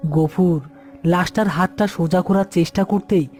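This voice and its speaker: A man's voice: sits high, steady tone, moderate pace, darkish tone. A man narrating a scripted horror story as its storyteller. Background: background music.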